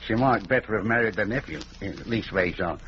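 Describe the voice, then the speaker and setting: An actor playing a gossipy, deferential village inn waiter with a working-class English accent and a hesitant, confiding manner in a 1940s radio play. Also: male voice, fairly low pitch, a little fast, a bit bright, lightly acted. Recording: muffled, noisy 1940s radio recording.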